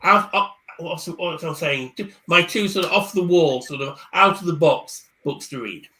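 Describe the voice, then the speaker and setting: A man on a video call, giving casual career-book advice, often stumbling over repeated words before rushing ahead. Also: fairly high pitch, sharp tone. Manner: hesitant, repeats words, then speeds up. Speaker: career coach guest speaker. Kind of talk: casual chat on a live online panel.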